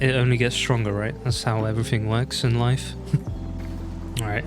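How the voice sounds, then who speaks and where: Chatty young man narrating his gameplay. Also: mid-range voice. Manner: chatty, laughs often, self-mocking asides. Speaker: gaming YouTuber. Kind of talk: casual let's-play commentary.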